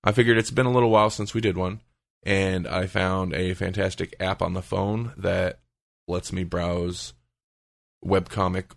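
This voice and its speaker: A man's voice: sits low, little pitch movement, normal speed, gravelly, dry recording, clean studio sound. A man hosting a podcast, chatting casually and feeling out his words as he goes. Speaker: podcast host.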